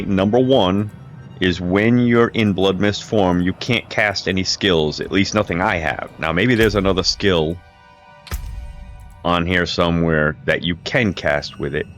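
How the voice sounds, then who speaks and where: A low-voiced man talks through a game build in a loose, meandering way, circling back and correcting himself as he goes. His streaming mic picks up a slight crackle, with faint game music underneath. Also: a bit bright. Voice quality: low-pitched voice. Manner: rambling, restarts and self-corrections. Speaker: gaming video creator. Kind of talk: casual gameplay commentary. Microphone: home streaming mic with crackle.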